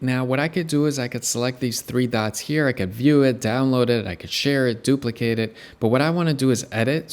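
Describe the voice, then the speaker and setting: A man hosting a software tutorial, explaining each step in an upbeat way. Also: medium pitch. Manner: explanatory, upbeat, walks through steps. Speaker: tutorial host. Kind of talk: screen-recorded software tutorial.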